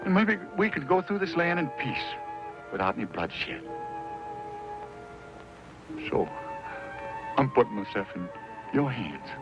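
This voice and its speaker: A plainspoken, devout American frontiersman in a radio western. His pitch moves freely over a middle register. The old recording is dull, with clear music behind him. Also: sing-song, unhurried, long pauses. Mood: prayerful, earnest.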